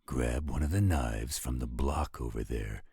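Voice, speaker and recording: A man playing a gruff, teasing werewolf with a husky voice in a roleplay audio drama. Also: measured pace, warm tone, dry recording, clean studio sound, exaggerated voice. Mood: easygoing, teasing. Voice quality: very deep, husky. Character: gruff, teasing male werewolf with a laid-back streak.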